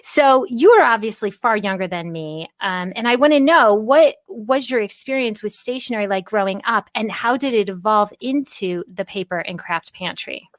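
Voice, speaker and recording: A woman in her fifties hosts a relaxed interview, warmly encouraging her guest and asking long, winding questions. Her voice sits in an ordinary middle range, recorded close and dry. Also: medium pitch, moderate pace, few pauses, no room sound. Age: 50s. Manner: warm and encouraging, long rambling questions, laughs often. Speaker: podcast host. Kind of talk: casual interview conversation.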